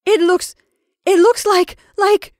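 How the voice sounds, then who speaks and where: A woman's voice: very high-pitched, steady tone, slow, no room sound, studio quality, voice pushed up. A woman voicing an easily alarmed married lady in a story, agitated and fearful. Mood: intense horror.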